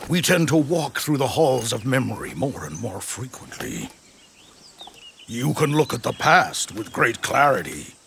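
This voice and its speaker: A wise old warrior in a video game drama. His voice is creaky and swings expressively as he muses with slow, deliberate weight. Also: medium pitch, a bit bright, slight background noise, heavily acted. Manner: deliberate, philosophical.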